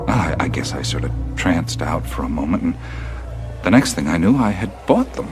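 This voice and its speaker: A thoughtful radio-play adventurer, easily spellbound and curious. His low voice moves expressively and naturally, pausing as he muses. Music plays strongly behind him. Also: very animated. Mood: wondering. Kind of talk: radio drama acting.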